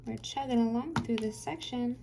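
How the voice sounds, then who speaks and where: A woman's voice: a little high, normal speed, vocal fry, very noisy. A woman hosting a craft channel, chatting casually while she works, rambling and hesitant with many fillers, her voice tired and getting dry.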